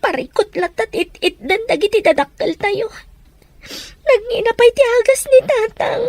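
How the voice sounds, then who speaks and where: A woman's voice: very high voice, lively intonation, normal speed, darkish tone, no room sound. A woman playing a burdened, reproachful young eldest daughter in a radio drama, with a Filipino accent. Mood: distressed.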